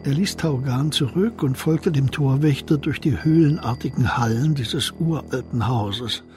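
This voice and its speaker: A man's voice: medium pitch, measured pace, a little mellow, a little hiss or hum. A man reading scripted narration for a German crime radio play. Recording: faint music behind voice.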